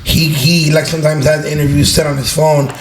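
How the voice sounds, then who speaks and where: A man's voice: on the high side, flat delivery, a little fast, crowd noise. A man in his twenties from Los Angeles, a guest on a casual podcast, talking loosely with lots of slang, fillers and swearing. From Los Angeles, United States. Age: 20s. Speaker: guest, online video creator.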